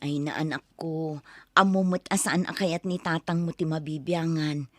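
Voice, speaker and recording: A woman's voice: low-pitched, steady tone, moderate pace, a bit bright, dry recording, studio quality. A woman voicing a worried middle-aged wife and mother in an audio drama, pleading and anxious. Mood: soothing. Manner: pleading, anxious, soothing to her son.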